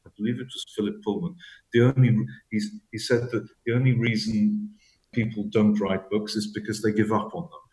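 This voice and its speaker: A man with a lowish voice speaks thoughtfully as an interview guest. He stumbles and repeats words while hunting for what he means. The room around him rings with echo. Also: fairly even intonation, darkish tone. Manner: halting, repeats words, searching for phrasing. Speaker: author guest. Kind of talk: reflective interview talk.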